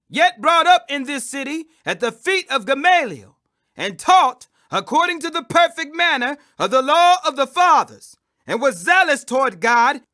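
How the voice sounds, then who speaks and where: High-pitched, emphatic man reading scripture. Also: pushed and bright. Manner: emphatic, declamatory, with drawn-out stressed phrases. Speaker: scripture reader. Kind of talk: dramatic scripture reading, preacher-like declamation.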